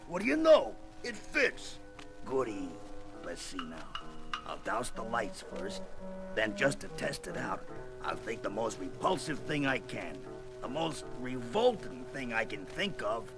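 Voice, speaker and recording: A man playing a gruff, brawny rock-skinned monster hero in a comic-book audio drama, with gravelly, slangy, blustering tough-guy talk. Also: mid-range voice, huge pitch swings, measured pace, music in the background. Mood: brooding.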